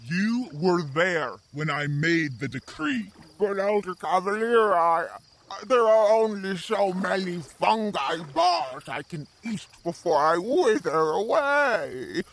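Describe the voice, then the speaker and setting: A man's voice: high voice, animated, takes it slowly, gravelly, a bit bright, slight background noise, heavily acted. A man playing a giant talking sea lion in a dramatic fantasy audio story. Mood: nervous, pleading. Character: giant sea lion creatures, stern elder and pleading underling. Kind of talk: dramatic audio fiction acting.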